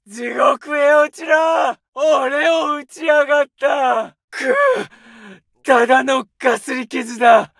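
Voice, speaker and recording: An aggressive young infantryman; high, slow shouted callouts with a gravelly edge. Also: steady tone, no room sound, studio quality, heavily acted. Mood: wounded anger. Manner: barked orders and battle shouts. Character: young male Japanese infantry soldier, aggressive. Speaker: soldier voice lines. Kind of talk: game combat callouts.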